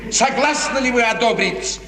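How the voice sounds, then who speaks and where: An actor playing a scheming, cynical court adviser, insinuating and persuasive, with theatrical 1950s radio drama phrasing. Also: male voice, very high-pitched, normal speed, bright, forceful tone. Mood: coaxing. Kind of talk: 1950s Russian classical radio drama acting. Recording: echoey, distant old radio play recording.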